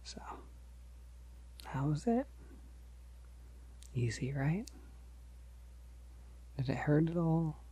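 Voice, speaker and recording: A man playing a polite, gentle, shy vampire in an ASMR roleplay, speaking softly and hesitantly to reassure the listener, often near a whisper. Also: on the high side, sing-song, slightly rough, heavily acted. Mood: soft, grateful. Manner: hesitant, reassuring, halting with soft chuckles.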